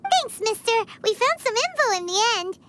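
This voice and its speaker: A woman's voice: extremely high pitch, very animated, moderate pace, slight background noise, full character voice. A woman voicing a tiny, childlike fairy companion in a video game, perky, blunt and exclamatory. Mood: cheerful, excited. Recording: loud music behind the voice. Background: loud game music.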